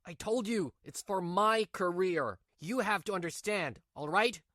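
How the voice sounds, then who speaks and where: A woman's voice: medium pitch, animated, unhurried, a bit bright, no room sound, clean studio sound. A woman voicing a selfish, irritable cheating husband with a dismissive manner in a manga dub. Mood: annoyed. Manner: dismissive, snappy when challenged. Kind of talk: manga dub character acting.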